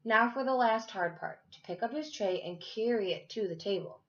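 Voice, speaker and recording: A woman's voice: on the high side, lively intonation, moderate pace, muffled recording. A woman reading a children's storybook aloud expressively, like a teacher to her class. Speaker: school teacher reading to third graders.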